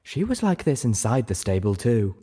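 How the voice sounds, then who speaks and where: A man's voice: medium pitch, sing-song, moderate pace, warm tone, husky. A male reader plays a refined pony mare in a storybook. She speaks articulately, with knowing, teasing lilts. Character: Velvet Remedy, teasing refined female pony.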